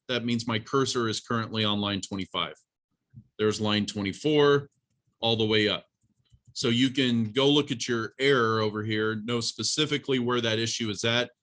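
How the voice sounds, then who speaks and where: A man's voice: mid-range voice, pushed and bright. A man teaching an informal college programming class, talking through a live demonstration in a plain-spoken, instructive way and addressing students directly.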